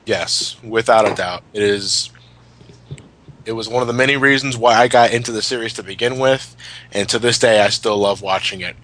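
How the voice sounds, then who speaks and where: A man's voice: on the low side, normal speed, fairly bright tone, poor audio. A man in casual fan chat, stammering over repeated words and swearing freely. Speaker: commentary host.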